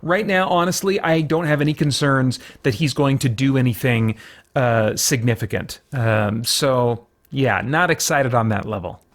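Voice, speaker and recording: A man's voice: medium pitch, a little slow. A man hosting a review show, talking casually in unscripted commentary and searching for his words as he thinks aloud. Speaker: host of a comic book review show.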